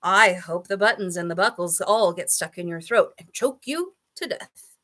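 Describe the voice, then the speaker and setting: A woman voicing an excitable, cheeky male monkey in a children's storybook, speaking in an emphatic, exclamatory way. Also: fairly low pitch, moderate pace. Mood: appalled. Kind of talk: children's storybook character reading.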